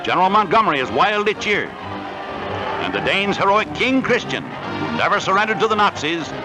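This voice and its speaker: High-pitched, animated American newsreel man, loud music. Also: normal speed, poor audio. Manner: dramatic, declamatory. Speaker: newsreel narrator. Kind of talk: 1940s newsreel scripted narration.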